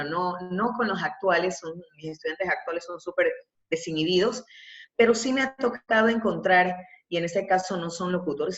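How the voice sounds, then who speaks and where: An Ecuadorian woman teaches vocal exercises in an online webinar. Her voice sits a little low for a woman and she explains with emphasis. The sound is muffled, with clear room echo. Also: a bit bright. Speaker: university professor and voice coach. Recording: muffled, echoey webinar audio.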